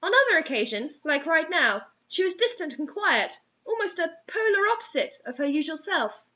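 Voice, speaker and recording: Animated, high-pitched sarcastic woman. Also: moderate pace, muffled recording. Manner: sarcastic, mock-dramatic. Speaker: host reading fan fiction aloud. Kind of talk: comedic read-aloud with mocking asides.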